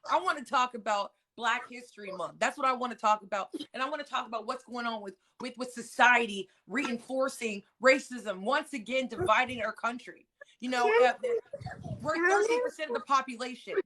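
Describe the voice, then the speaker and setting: Bright-voiced American woman hosting. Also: on the high side, animated. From United States. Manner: earnest, conversational, pausing. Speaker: host. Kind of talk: live-stream talk show.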